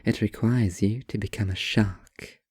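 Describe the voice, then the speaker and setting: Man as a sullen, low-voiced young writer. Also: fairly even intonation, measured pace, a little mellow, breathy edge, no room sound, studio quality. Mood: curt, defensive. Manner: curt, pompous, world-weary.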